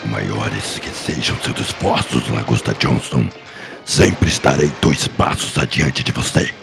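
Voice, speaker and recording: A man playing a menacing, pompous masked Nazi mad scientist in a gravelly voice, in a pulp audio drama. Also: a bass voice, music in the background, full character voice. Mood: menacing, smug. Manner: slow, pompous, threatening. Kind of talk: pulp radio-serial acting.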